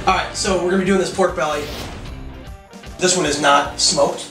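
A man's voice: fairly high pitch, steady tone, normal speed. A man in his thirties hosting a cooking show, teasing his guest while giving casual instructions in a small home kitchen. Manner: teasing, joking. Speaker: chef and host. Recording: echoey room with music underneath.

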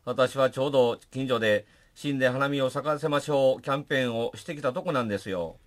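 Courteous medium-pitched funeral director, male actor. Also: fast talker, lightly acted. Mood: courteous, solicitous. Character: courteous, solicitous male funeral company president. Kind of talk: comic radio drama acting.

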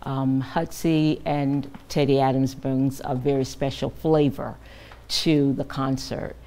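Very low, dark-voiced older woman. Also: little pitch movement. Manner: earnest, measured, with frequent fillers. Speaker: guest, director of a children's camp. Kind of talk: TV studio interview. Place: TV news studio.

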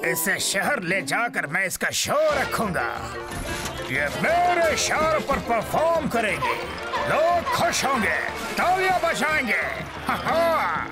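A man's voice: extremely high pitch, big pitch swings, takes it slowly, full character voice. A man voicing a greedy, boastful conquistador villain in a cartoon dub, with a theatrical, gloating manner. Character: scheming, greedy male conquistador treasure hunter, boastful villain. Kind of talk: exaggerated cartoon dub acting. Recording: loud cartoon music behind.

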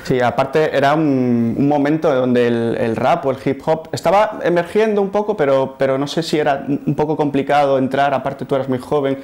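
A man in his thirties interviewing a guest in a TV studio, asking questions in a halting way with repeated words, on a lapel mic. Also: medium pitch, a little mellow. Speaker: journalist and interviewer. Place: TV studio set.

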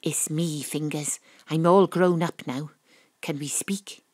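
A woman's voice: deep voice, steady tone, slow pace, dry recording, clean studio sound. A woman playing a determined, wry Welsh private detective, acting and narrating in a noir audio drama. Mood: hushed, wry.

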